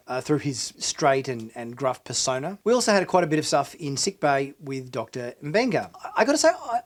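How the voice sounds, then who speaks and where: A hoarse man hosting a sci-fi channel, giving a casual spoken TV review with lots of fillers and restarts. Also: a little high. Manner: chatty, hesitant with frequent fillers and restarts. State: hoarse from illness.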